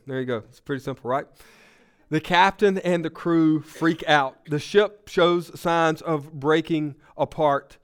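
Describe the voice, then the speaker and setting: A Southern-accented man gives a sermon, with a smooth, resonant voice that sits a little high for a man. He's sick but still talks conversationally, cracks the odd joke and builds intensity as he retells the story. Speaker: pastor.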